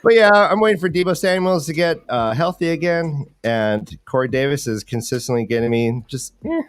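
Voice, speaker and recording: A man with a slightly high voice co-hosts a casual livestream. He banters about fantasy football in a playfully boastful way and tends to repeat his phrases. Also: lively intonation, moderate pace, no echo, studio quality. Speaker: livestream co-host.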